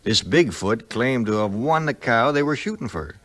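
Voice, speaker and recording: An actor playing a grumbling, loyal backwoods sidekick with a rustic Southern US twang in a 1950s record drama. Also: male voice, mid-range voice, animated, normal speed, fairly bright tone, natural voice. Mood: storytelling. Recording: 1950s vinyl record, music behind.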